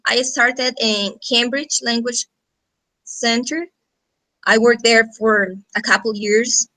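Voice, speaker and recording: A Colombian-accented woman in her thirties with a high, bright voice tells a class about herself as a guest teacher. She takes her time, speaking informally as a native Spanish speaker. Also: rough recording. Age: 30s. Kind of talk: informal self-introduction to a class. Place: video call.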